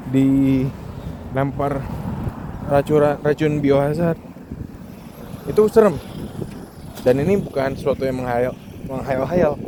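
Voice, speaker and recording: A man's voice: medium pitch, background noise. A young man from Indonesia talks casually in a joking monologue vlog, mixing in English words. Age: young adult. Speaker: vlogger, international student.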